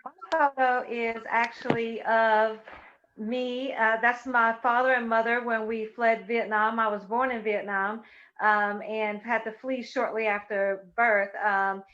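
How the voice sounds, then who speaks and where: A fairly high-voiced middle-aged woman hosts a webinar panel, pausing on fillers as she introduces guests and talks. Her voice comes through muffled, lo-fi video-call audio. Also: little pitch movement, fairly bright tone. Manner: measured, with frequent fillers. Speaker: moderator and host. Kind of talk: webinar moderation and personal remarks.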